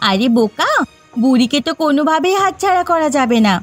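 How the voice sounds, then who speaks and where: A high-pitched, cruel young wife from a village cartoon, acted by a woman. She scolds and bosses with swooping scorn, always scheming, with music underneath. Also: lively intonation, brisk. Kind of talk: animated moral story voice acting.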